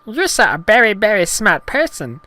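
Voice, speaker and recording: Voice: mid-range voice, sing-song, normal speed, pushed and bright, pitched above the performer's own voice. A narrator voicing a clumsy, meek, eager male alien with childlike broken grammar in a parody story. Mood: admiring.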